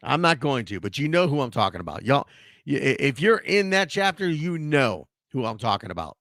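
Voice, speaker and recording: Hoarse male sports talk host, lively pitch, dry close sound, loose and ranting. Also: brisk, dry recording. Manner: animated, ranting, with frequent restarts. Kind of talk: casual sports talk commentary.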